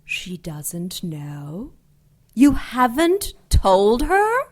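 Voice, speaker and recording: A woman playing a seductive, vain ancient dark angel in an audio drama, teasing and theatrical, savoring her words with amused menace. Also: fairly high pitch, huge pitch swings, very slow, exaggerated voice. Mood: needling, condescending.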